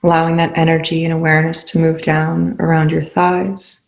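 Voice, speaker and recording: A meditation guide leading a session in a soothing, unhurried manner with long pauses between phrases. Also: mid-range voice, monotone, vocal fry. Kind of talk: guided meditation, spoken slowly.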